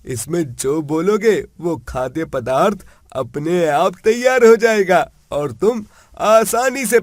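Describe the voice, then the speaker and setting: A kind magical prince from a cartoon fairy tale, acted by a man, speaks slowly and earnestly. His voice is fairly high and reassuring, in a dry recording. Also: no echo. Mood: kind, generous. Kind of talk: Hindi animated moral-story dialogue.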